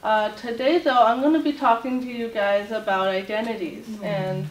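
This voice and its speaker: A woman from Latvia giving an informal talk to a group as a self-advocate, speaking haltingly with many fillers and restarts. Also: on the high side, fairly even intonation. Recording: roomy echo, voice far from mic, faint ambience.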